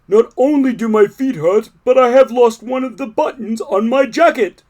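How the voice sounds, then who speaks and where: A man voicing a fussy, easily upset male toad in a storybook read-aloud, talking loud and complaining. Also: very high-pitched, normal speed, voice pushed up.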